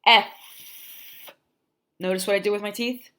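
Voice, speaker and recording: A middle-aged woman from New Jersey with a softened Jersey accent, teaching phonics in a livestream and sounding out letters while checking in with "okay?". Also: mid-range voice, steady tone, a little slow. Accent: New Jersey, softened toward standard American. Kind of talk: livestream phonics lesson for parents.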